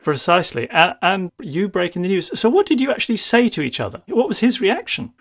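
A British man conducting an interview in a courteous, slightly halting manner. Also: high voice, very animated, vocal fry, a bit bright. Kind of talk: polite telephone interview.

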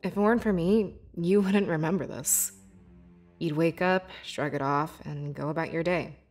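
A woman playing a young, devoted beast-folk scribe in a fantasy video game, with earnest, measured and expressive delivery. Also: low voice, faint music behind. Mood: explanatory, friendly. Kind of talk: scripted video game character dialogue.

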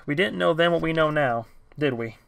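A man voicing a calm, weary man who insists on following procedure. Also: on the high side, a little fast, vocal fry, clean tone, no room sound.

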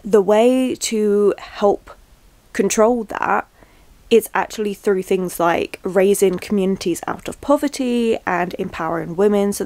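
A woman's voice: mid-range voice, darkish tone. Chatty young woman book vlogger. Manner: chatty, upbeat, rambling asides. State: stuffy from a cold. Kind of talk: casual reading vlog talk to camera. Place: small bedroom with bookshelves, indoors.

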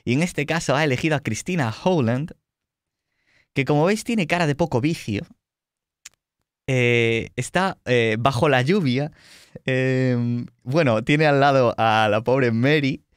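A man's voice: mid-range voice, measured pace, smooth, no room sound, studio quality. A man with a Spanish accent from Spain, casually hosting a livestreamed tabletop roleplay show as game master, with teasing, mock-boastful banter. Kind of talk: casual joking introduction of players on a livestream.

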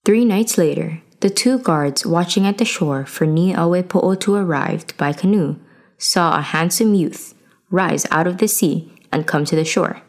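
Female storyteller for folktale narration, slightly low register, reading from a script. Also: measured pace.

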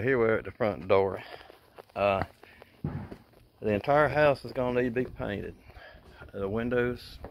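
A man giving a rambling, relaxed walkthrough of a house he is renovating, recorded on a handheld phone. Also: lowish voice, creaky. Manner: rambling, relaxed, chuckling asides. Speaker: house flipper showing a renovation. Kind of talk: casual walkthrough vlog. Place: empty house, indoors and out. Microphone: phone camera held while walking. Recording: noisy handheld phone audio.

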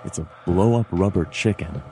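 A man voicing a world-weary, wry animal detective narrating a noir video game in a dry, deadpan way. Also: deep voice, normal speed, loud music behind the voice, lightly acted.

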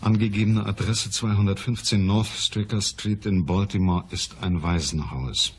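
A man's voice: lowish voice, fairly even intonation, normal speed, background noise, close to an everyday voice. An actor playing a shrewd, businesslike male private detective in a radio crime play.

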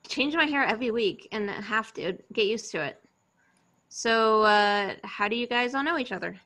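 A cool, blunt young woman, read by an actress. Her voice is fairly high and bright, her delivery laid-back as in an amateur read-through of a film script. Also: animated, moderate pace. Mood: casual. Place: remote video call.

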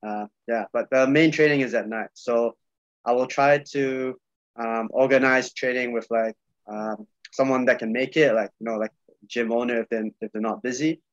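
A man's voice: medium pitch, steady tone, moderate pace, no echo. A young man from Malaysia, chatting loosely as a podcast guest over a video call, full of fillers and repeats. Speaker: podcast guest, jiu-jitsu coach. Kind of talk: casual video-call chat. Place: small room indoors. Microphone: video call webcam. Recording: dull, dry video-call audio.

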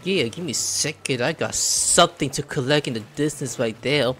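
A young man with a headset playing a game, commenting playfully and excitably, reading lines aloud and swearing and shouting in fights. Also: medium pitch, normal speed, soft background music. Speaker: gaming streamer.

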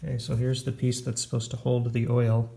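A man in his forties talks through hands-on repair work in a workshop. His voice sits at a medium pitch. He is plainspoken and matter-of-fact, with a self-deprecating streak. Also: little pitch movement, fairly quick, creaky, mellow. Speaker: home repair video host. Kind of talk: casual hands-on repair explanation. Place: indoor home workshop.

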